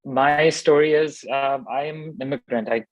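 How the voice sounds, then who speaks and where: A man's voice: fairly high pitch, fairly even intonation, moderate pace, no room sound, dull audio. A man in his thirties from Pakistan, a startup founder telling his story in an interview, thinking aloud with many fillers and restarts. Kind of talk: conversational interview answers.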